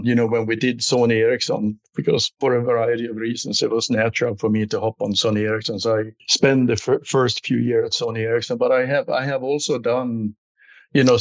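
Male executive guest, Swedish accent, fairly flat intonation, conversational, on dry, slightly muffled audio. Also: mid-range voice, dry recording. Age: middle-aged or older. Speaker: company executive, interview guest. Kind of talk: conversational interview answers.

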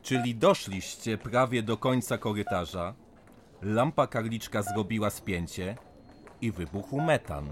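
A brusque mine official. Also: male voice, on the low side, expressive, measured pace. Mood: questioning. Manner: brusque, dismissive. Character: brisk matter-of-fact male mine official. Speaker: mine official at an accident scene. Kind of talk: radio drama acting. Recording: noisy, distant scene recording.

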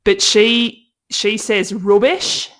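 Animated Australian woman host. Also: medium pitch, drawn-out words. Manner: animated, laughs a lot. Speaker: podcast host. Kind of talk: casual interview chat.

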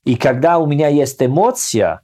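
Italian man, Italian-accented, halting delivery with frequent fillers, casual interview guest. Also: on the high side, very animated, dark tone. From southern Italy. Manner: hesitant, halting non-native phrasing. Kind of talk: casual conversation.

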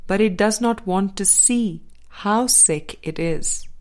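A woman narrating a spiritual book as a scripted reading, with measured, deliberate phrasing. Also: medium pitch, darkish tone. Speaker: audiobook reader.

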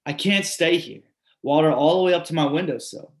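A man's voice: fairly high pitch, a little fast. Man urgently recounting a flood. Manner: urgent, repetitive storytelling. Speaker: reader performing a monologue. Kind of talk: readers theatre monologue.

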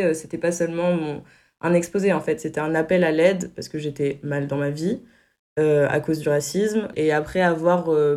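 A woman's voice: on the low side, mellow. A woman in her early twenties telling a personal story with many hesitations. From France. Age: 20s. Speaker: testimony guest. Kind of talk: personal testimony.

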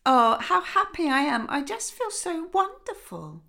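A woman's voice: high voice, huge pitch swings, measured pace, creaky, sharp tone, pitched above the performer's own voice, heavily acted. A woman voicing a cheerful, oblivious father who gushes with dreamy delight. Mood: blissful. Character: cheerful, oblivious father, middle-aged man.

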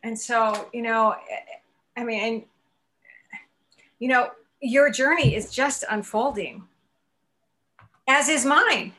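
Host, woman in her 60s; bright, somewhat high, encouraging and motivational. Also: slow, long pauses. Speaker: podcast host. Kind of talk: interview chat.

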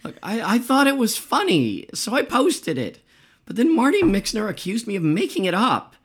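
A woman playing a neurotic, self-dramatizing rock singer in a naturalistic comedy radio play, hoarse, halting and defensive. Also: medium pitch, big pitch swings. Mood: exasperated. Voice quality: hoarse, husky. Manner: rambling, halting, emphatic self-justifying.

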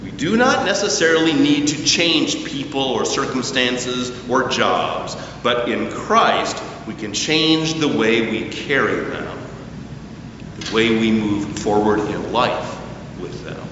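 A man preaching a sermon at a slow, deliberate pace, his voice rising and falling with emphasis. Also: medium pitch, noisy background. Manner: slow, deliberate preaching with lively rises and falls. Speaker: pastor. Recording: echoey church hall, distant mic, dull sound.

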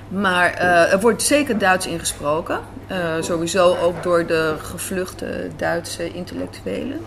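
Thoughtful woman, noisy location interview. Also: lowish voice. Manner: thoughtful, searching for words. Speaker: interview guest, writer and director. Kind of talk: conversational interview answers. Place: on location at an Amsterdam café and square. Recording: noisy on-location recording with city ambience.

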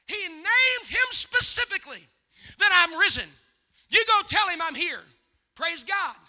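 High, forceful Southern preacher man. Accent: Southern American. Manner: exhortatory, calls on the congregation to respond. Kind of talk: impassioned church sermon to a congregation.

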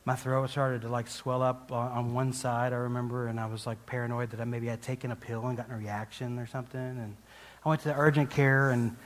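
A man of middling pitch gives a conversational sermon as a guest preacher, telling a personal story. He rambles and restarts often and pokes fun at himself. His voice carries the long reverb of a large church hall. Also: flat delivery, brisk. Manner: rambling, self-deprecating, frequent restarts and laughs.

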